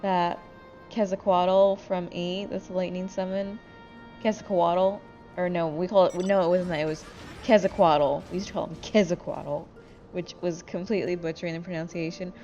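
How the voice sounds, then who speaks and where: Woman, drowsy low voice, sweary and candid gamer chat, slightly muffled recording. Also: flat delivery, a little fast, mellow. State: sleepy. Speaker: gamer commentating with friends. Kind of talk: casual chat over gameplay. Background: game music.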